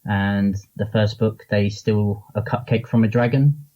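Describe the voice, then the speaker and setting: A man's voice: lowish voice, fairly even intonation, a little slow, highs cut off. A man chatting casually as an interview guest, with an informal, joking manner, on a remote voice call. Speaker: podcast guest, comics charity founder and publisher.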